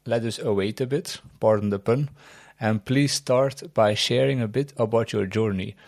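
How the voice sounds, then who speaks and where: A man's voice: medium pitch, moderate pace, creaky, darkish tone, dry recording, studio quality. A man hosting a tech podcast, chatting loosely and asking questions with the odd pun. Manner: chatty, loose phrasing, playful puns. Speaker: podcast host. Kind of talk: casual interview chat.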